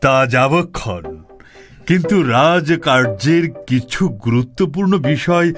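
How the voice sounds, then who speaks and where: A man's voice: fairly high pitch, expressive, unhurried, over music. A man voicing a cautious royal minister in a historical cartoon, speaking formally and deferentially. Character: cautious male royal minister, dutiful and worried. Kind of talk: animated cartoon voice acting.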